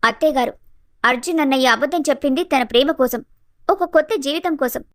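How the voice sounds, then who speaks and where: Bold young daughter-in-law for storybook drama played by a woman, firm, very high voice, dry recording. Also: a little fast, fairly bright tone, dry recording. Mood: firm, confronting. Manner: firm, confronting. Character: bold, clear-headed young daughter-in-law exposing hypocrisy.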